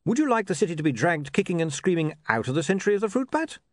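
Man as a polite, higher-pitched earnest scribe. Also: sing-song, fast, dry recording, studio quality. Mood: polite, questioning.

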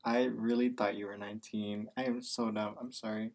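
A man's voice: lowish voice, level tone, normal speed. A nineteen-year-old man co-hosting a podcast, playful and teasing in casual chat. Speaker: podcast co-host, business student. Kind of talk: scripted intro, then casual chat.

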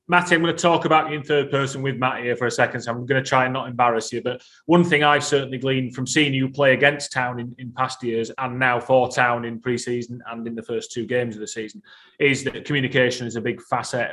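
A man hosts a sports chat at a brisk pace. He is articulate and wordy, stringing together long conversational questions in a mid-range voice. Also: medium pitch, fairly even intonation, fairly bright tone. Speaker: show host, interviewer.

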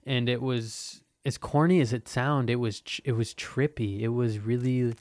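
A man's voice: mid-range voice, fairly even intonation, moderate pace, a little mellow, no echo. A man in his twenties hosting a podcast, talking in a loose, profane, meandering way with lots of restarts. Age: 20s. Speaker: podcast host. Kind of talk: casual rambling monologue.

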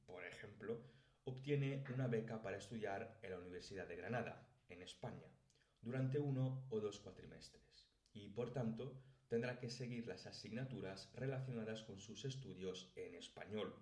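A man's voice: on the low side, darkish tone. A man in his late twenties with a Spanish accent from Spain, narrating a scripted podcast as a host and teacher. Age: late 20s. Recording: roomy, echoey sound.

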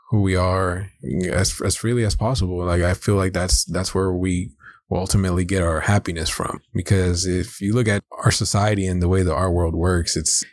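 A man's voice: low-pitched, no room sound. An American man in his twenties talking casually and candidly as a podcast co-host, introducing himself in a reflective way. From South Los Angeles, United States. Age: 20s.

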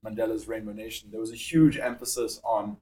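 A young man with a South African accent, a startup founder explaining his work in a podcast interview, measured and full of fillers. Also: fairly low pitch, level tone, mellow.

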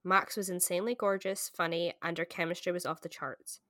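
A woman with a somewhat low voice co-hosts a casual book podcast. Her sound is very dry, with no room around it, as she chats and reads aloud in an informal way. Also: steady tone, brisk, bright, forceful tone, no room sound. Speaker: podcast co-host.

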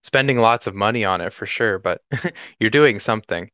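A man's voice: lowish voice, moderate pace, bright, forceful tone, no room sound. A twenty-one-year-old man in casual podcast conversation, easygoing and joking, on a slightly dull recording. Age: 21, young adult. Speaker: podcast guest or co-host.

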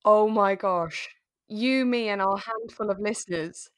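A woman co-hosting a casual review podcast, chatting in a giggly, animated way with enthusiastic gasps. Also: mid-range voice, unhurried, no room sound, studio quality. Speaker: co-host of a radio drama review podcast. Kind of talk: casual chat.

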